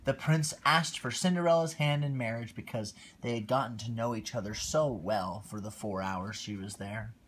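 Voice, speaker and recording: A man reading a bedtime story aloud to his child on a phone livestream, expressive with quiet asides. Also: mid-range voice, normal speed, few pauses, a little hiss or hum.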